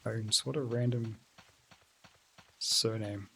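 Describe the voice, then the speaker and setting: A man's voice: on the low side, fairly even intonation, slow pace, creaky, a bit bright, a little hiss or hum, low-quality recording. A man streaming a video game, chatting casually with viewers and reading their messages aloud. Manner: chatty, reads and answers chat aloud. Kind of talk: casual let's-play commentary.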